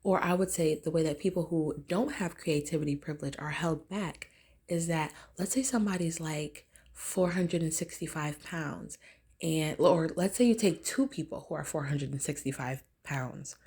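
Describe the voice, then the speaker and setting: A woman with a notably low, raspy voice talks straight to camera in a relaxed, wandering monologue. She circles her points with plenty of "like" and "um" and restarts. It is an easygoing vlog chat rather than a prepared speech. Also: normal speed. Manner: rambling, filler-heavy, loose and conversational. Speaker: vlogger. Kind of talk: casual talking-head monologue.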